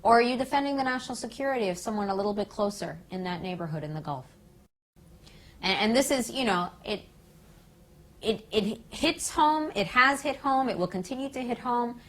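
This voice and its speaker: An American woman giving earnest, emphatic political commentary as an activist in an interview, phrasing her points deliberately. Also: a little high, lively intonation, fairly bright tone. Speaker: peace activist being interviewed.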